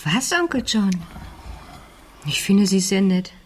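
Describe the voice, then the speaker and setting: A woman's voice: medium pitch, big pitch swings, takes it slowly, slight background noise. An actress playing an inquisitive, outspoken fifteen-year-old girl in a radio crime drama, probing insistently and directly. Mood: insistent, curious. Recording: 1970s radio production with long reverb.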